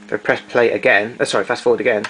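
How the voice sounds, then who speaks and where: A man's voice: medium pitch, level tone, racing, fairly bright tone, a little hiss or hum. A man giving an informal technical demonstration of equipment, explaining things methodically.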